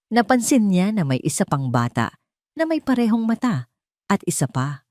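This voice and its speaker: A woman's voice: low-pitched, darkish tone, no room sound, clean studio sound. A woman with a Filipino accent narrating a scripted romantic drama in a solemn, melodramatic way. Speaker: storyteller. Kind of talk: scripted dramatic audio love story narration.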